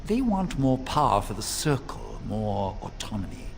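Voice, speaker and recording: An actor playing a genial, worldly senior mage in a fantasy game, explaining things in a wry, conversational way. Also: male voice, fairly low pitch, big pitch swings, moderate pace, slight background noise. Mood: wry, explanatory. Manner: conversational, explanatory, wryly resigned.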